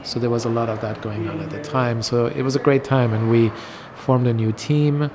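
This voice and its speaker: A middle-aged man from Iran talking casually about his design career, pausing often with ums. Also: mid-range voice, noisy background. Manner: relaxed, hesitant with many ums. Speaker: interview guest, designer. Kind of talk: casual interview recollection.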